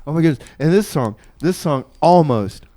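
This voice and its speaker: A man's voice: fairly high pitch, lively intonation, moderate pace, dark tone. A man hosting a community radio show with casual, improvised chat and announcements, hesitant and folksy, often repeating his phrases.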